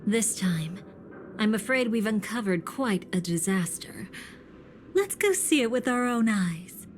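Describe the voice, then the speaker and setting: A woman's voice: mid-range voice, expressive, unhurried, a little hiss or hum, lightly acted. A woman playing a mysterious, knowing woman in a video game, composed and articulate with a slight teasing confidence. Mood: intrigued, wry. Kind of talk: scripted game voice acting.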